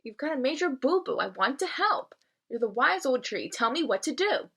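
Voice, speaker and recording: Woman voicing a feisty, indignant crow, pitched up. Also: normal speed, husky, exaggerated voice. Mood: worried. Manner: blunt, excitable, slangy. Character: feisty, pessimistic female crow, protective and indignant.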